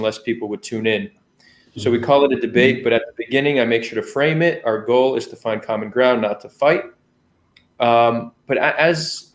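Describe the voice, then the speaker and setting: A man who is a guest on a podcast, explaining things in a calm, measured way. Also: medium pitch. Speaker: guest, founder of a dialogue channel. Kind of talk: conversational podcast interview. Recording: roomy echo.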